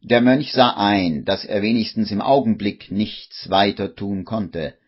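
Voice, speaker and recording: A man narrating a radio play in a measured storytelling manner, on an old 1950s recording. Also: fairly low pitch, breathy edge, highs cut off.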